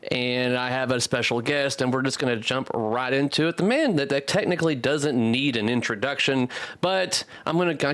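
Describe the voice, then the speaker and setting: A man's voice: mid-range voice, fairly bright tone. A man hosting a casual live stream, rambling and joking loosely, with casual swearing. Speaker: host.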